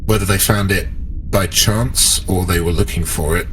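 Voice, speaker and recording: A man's voice: deep voice, fairly even intonation, normal speed, fairly bright tone. A man playing an earnest, principled starship commander in a tabletop sci-fi game, formal and deferential as he reasons aloud. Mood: thoughtful. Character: earnest, principled male starship commander advising his captain. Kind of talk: improvised sci-fi tabletop roleplay. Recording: faint background noise.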